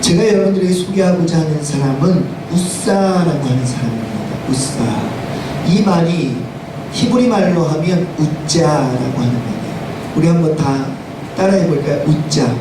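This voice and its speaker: A hoarse male pastor with a cold, preaching a Sunday sermon emphatically and putting rhetorical questions to the congregation. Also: normal speed. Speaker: senior pastor. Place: church sanctuary, preaching to congregation. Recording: echoey, distant, noisy room with rumble.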